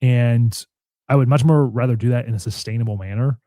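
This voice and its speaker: A man's voice: steady tone, a little fast, mellow, dry recording, studio quality. A young man hosting a podcast in casual chat, talking in long rambling stretches full of "like". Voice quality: deep voice. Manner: rambling, filler-heavy, frequent "like". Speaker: podcast host.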